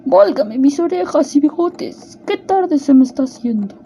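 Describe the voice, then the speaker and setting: Woman as a high, fretful storybook rabbit. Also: expressive, a little slow, dark tone, a little hiss or hum. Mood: fretful hurry. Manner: fussing about being late. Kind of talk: storybook radio drama acting.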